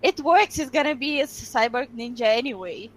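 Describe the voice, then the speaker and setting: Female podcast panelist, high voice, casual, decisive, assertive delivery. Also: moderate pace, slight background noise, low-quality recording. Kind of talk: casual group discussion.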